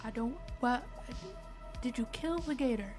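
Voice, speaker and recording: A woman's voice: on the high side, measured pace, music in the background. A playful, joking woman chatting casually and roleplaying as a player in a tabletop game. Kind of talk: casual banter and in-character roleplay.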